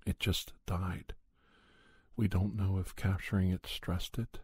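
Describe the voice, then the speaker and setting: A man playing a grave, gentle doctor who recounts paranormal creature hunts in a soft, husky ASMR storytelling style. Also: sits low, level tone, slow pace, exaggerated voice. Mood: somber. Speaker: storyteller, podcast narrator.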